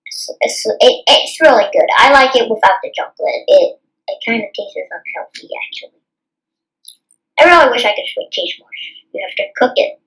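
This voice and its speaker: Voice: medium pitch, lively intonation, normal speed, a bit bright, poor audio. A child host reading a picture book aloud and adding rambling, earnest asides. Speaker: storytime channel host.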